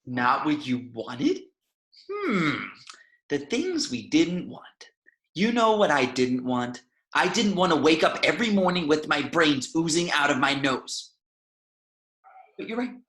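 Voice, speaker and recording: Bitter mastermind villain, acted by a man, unhurried, sardonic and taunting, table-read performance. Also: fairly high pitch, very animated, fairly bright tone. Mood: mocking, sardonic. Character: bitter, mocking male supervillain mastermind, chillingly calm. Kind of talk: film script table read.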